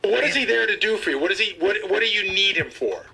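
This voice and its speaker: A man argues in a high, very sharp and forceful voice. It is rough and raspy, and he badgers with question after question in casual radio banter. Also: lively intonation, quick pace. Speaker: radio show participant.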